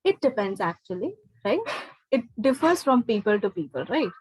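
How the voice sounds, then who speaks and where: A woman's voice: a little high, sing-song, normal speed, darkish tone, slight background noise, rough recording. A woman with an Indian accent teaching an interactive spoken English lesson online, instructing and often checking understanding with short questions. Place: online live class.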